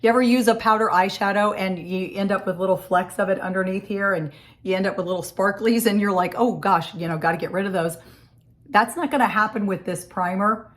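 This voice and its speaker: A woman with a scratchy, hoarse voice from a cold, chatting casually through a makeup tutorial in her home office. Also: medium pitch, steady tone, a little fast, a bit bright. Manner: chatty, friendly step-by-step explaining. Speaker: makeup vlogger.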